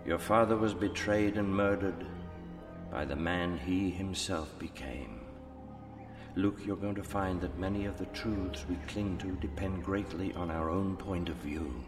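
A solemn, older mentor returned as a spirit in a radio play. His low voice is patient, formal and admonishing. It trails long ghostly reverb, with music swelling behind it. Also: a little slow. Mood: solemn, explaining. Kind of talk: radio drama acting.

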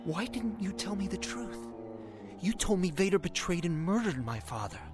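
Man acting anguished young hero over loud music. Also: medium pitch, moderate pace, close to an everyday voice. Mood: hurt, accusing. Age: young adult. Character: earnest young Jedi hero, anguished and conflicted. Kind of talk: radio drama acting. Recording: loud orchestral score behind.

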